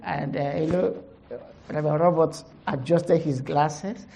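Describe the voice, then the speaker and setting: Slow low-voiced Nigerian woman pastor. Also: steady tone, gravelly, dark tone. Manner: halting, many fillers, laughs at herself. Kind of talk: conference testimony sermon.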